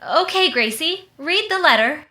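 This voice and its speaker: Bright, animated radio-comedy friend, acted by a woman. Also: sits high, measured pace, exaggerated voice. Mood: confident, coaxing. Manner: confident, emphatic, cheerfully insistent. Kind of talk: re-enacted 1940s radio comedy and advert acting. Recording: roomy, echoing voice recording.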